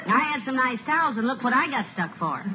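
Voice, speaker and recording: An actress playing a sensible, exasperated wife with a dry, gently scolding manner in a 1940s radio comedy. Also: female voice, high-pitched, very animated, fast talker. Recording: dull old radio recording, audience noise.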